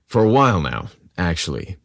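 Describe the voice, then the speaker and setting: A man's voice: low voice, very animated, fairly bright tone, no echo, heavily acted. A man voicing a rugged, charming, devoted lover in a romantic audio story, heartfelt in manner. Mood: hesitant confession. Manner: halting, heartfelt.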